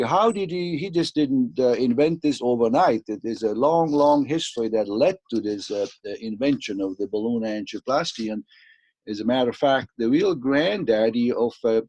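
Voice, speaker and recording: A man in his sixties from Switzerland with a Swiss accent, a cardiologist giving a halting talk over slides with many fillers and restarts. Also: mid-range voice, darkish tone, dry recording. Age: 60s. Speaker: cardiologist guest. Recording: dry, muffled lo-fi sound.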